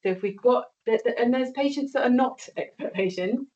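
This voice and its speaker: A woman's voice: a little high, fairly quick, mellow, far from the mic. A woman who is a GP and board member, summing up a patient's story in an emphatic, reassuring way. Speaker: associate non-executive director and GP. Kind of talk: meeting comment. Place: meeting room. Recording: dull lo-fi meeting audio.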